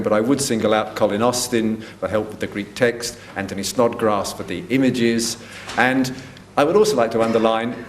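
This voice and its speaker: A man, the event organizer, giving an informal and slightly rambling closing speech of thanks. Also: medium pitch. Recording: echoey hall, faint noise.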